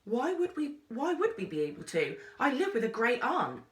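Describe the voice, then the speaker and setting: A woman voicing a sharp-tongued, sceptical young girl in a children's storybook, protesting as she speaks. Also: on the high side, sing-song, moderate pace, pitched above the performer's own voice. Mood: incredulous. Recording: roomy echo, distant microphone.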